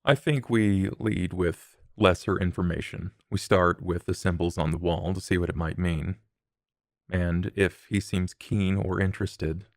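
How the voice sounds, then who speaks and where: A man's voice: low-pitched, creaky, no echo. Man, tabletop player in character, formal calculating speech, dry close sound.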